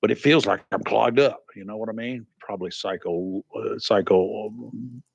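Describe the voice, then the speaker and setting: A man's voice: fairly low pitch, moderate pace, gravelly, breathy edge, no echo, lo-fi sound. A man hosting a local radio talk show. He rambles folksily with frequent restarts and sounds congested. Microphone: studio microphone.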